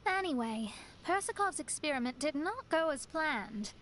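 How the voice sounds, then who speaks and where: A woman's voice: high voice, animated, normal speed, a bit bright, slight background noise, rough recording. A woman voicing a clever, confident young female astrologer in a video game, explaining things in an articulate, self-assured way. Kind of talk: scripted video game dialogue. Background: game background music.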